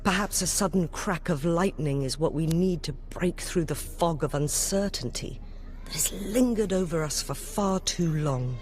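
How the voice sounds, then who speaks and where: A woman playing a dignified, caring elder guardian in a video game, speaking in a deliberate, formal, reflective way. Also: lowish voice, darkish tone, natural voice. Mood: grave reassurance. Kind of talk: scripted video game voice acting. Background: music behind the voice.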